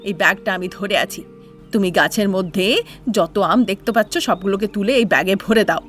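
A woman's voice: medium pitch, fairly even intonation, fairly quick, fairly bright tone, faint music behind, pitched below the performer's own voice, lightly acted. A woman voicing a scheming, bossy middle-aged village aunt in a cartoon tale, coaxing and giving orders. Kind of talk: cartoon fairy-tale character acting.

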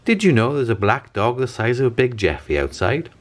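A man voicing an excitable, urgent young child in a storybook. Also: medium pitch, brisk, voice pushed up, lightly acted. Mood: urgent wailing. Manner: wailing, urgent. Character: excitable young boy and girl siblings. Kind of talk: storybook character reading.

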